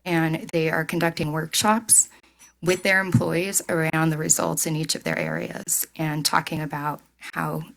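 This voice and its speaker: Low, gravelly woman reporting to a board. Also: unhurried, rough recording. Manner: steady, businesslike report. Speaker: staff executive presenting. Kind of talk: board meeting presentation.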